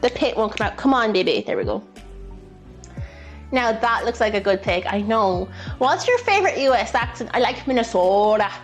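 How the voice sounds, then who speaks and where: An Irish woman talks in a fairly high voice, chatty and playful. She speaks off the cuff as a streamer would to her viewers, with some music playing behind her. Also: animated, moderate pace. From Ireland. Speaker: live streamer. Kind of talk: casual live cooking stream chat. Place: indoors, in a kitchen.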